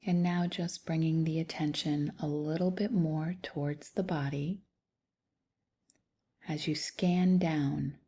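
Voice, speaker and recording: A woman guides a meditation in a low, gentle voice. Her tone is mellow and breathy, softening almost toward a whisper. She speaks soothingly, leaving long pauses between short phrases. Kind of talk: guided body scan meditation.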